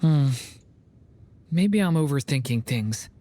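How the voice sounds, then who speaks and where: A woman voicing a gentle, hesitant, worried young dragon boy in a video game. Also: sits low, animated, slow. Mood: worried, grateful. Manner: soft, hesitant, earnest.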